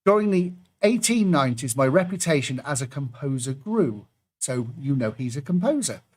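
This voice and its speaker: A man's voice: medium pitch, very animated, moderate pace, a little mellow. A man hosting an online pub quiz, reading out clues and adding jokey asides between them.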